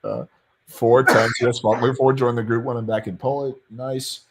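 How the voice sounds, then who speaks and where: A man with a deep voice giving blunt, profane dating advice in a casual panel chat, reading out viewer questions. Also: little pitch movement, brisk, poor audio.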